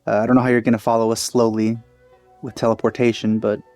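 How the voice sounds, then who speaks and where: A man's voice: fairly low pitch, level tone, fast, a little mellow. Offhand male gamer over game music. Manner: offhand, joking. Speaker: let's play gamer. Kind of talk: casual gameplay commentary. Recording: game music behind commentary.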